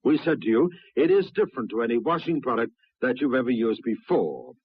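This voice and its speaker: A man presenting a 1960s radio commercial, formal and persuasive, with a husky voice, speaking directly to housewives. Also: mid-range voice, lively intonation, brisk, dry recording. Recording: dull, dry 1960s radio recording.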